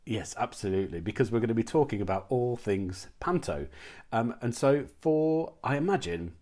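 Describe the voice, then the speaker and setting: A man's voice: mid-range voice, lively intonation, moderate pace, darkish tone. A man presenting and interviewing as a channel host in a casual, enthusiastic style.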